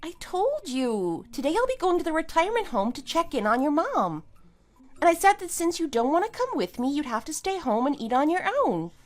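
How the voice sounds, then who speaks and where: An actress playing a put-upon but persistent middle-aged wife who reasons defensively in a scripted audio drama. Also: female voice, high voice, very animated, fairly quick, darkish tone, faint music behind. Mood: exasperated.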